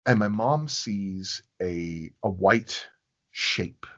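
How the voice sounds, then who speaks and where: A man telling stories casually in a conversation, with a reflective, halting way of phrasing things. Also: fairly low pitch, lively intonation, lo-fi sound. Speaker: podcast guest.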